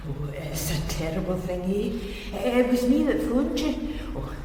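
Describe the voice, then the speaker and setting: Chatty Scottish housewife, very lively swooping intonation, grumbling, rambling, radio drama, reverberant space. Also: female voice, on the low side, normal speed. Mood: dramatic, shocked. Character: garrulous, grumbling working-class Scottish housewife, easily outraged. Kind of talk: naturalistic radio drama acting. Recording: echoey stairwell-like reverb, faint noise.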